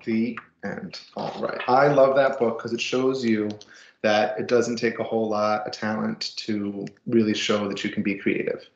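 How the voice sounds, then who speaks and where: A man's voice: fairly low pitch, little pitch movement, unhurried, creaky, echoey room, muffled recording, slight background noise. A man reading a children's picture book aloud with casual asides, expressively and with dramatic emphasis. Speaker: storybook reader.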